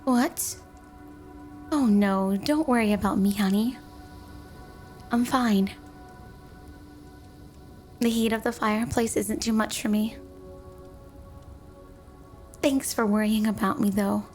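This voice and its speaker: A woman's voice: medium pitch, steady tone, slow, long pauses, darkish tone, faint music behind, close to an everyday voice. A woman playing a flirty, doting, obsessive snow woman wife in an intimate ASMR roleplay, teasing and coy. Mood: tender, reassuring.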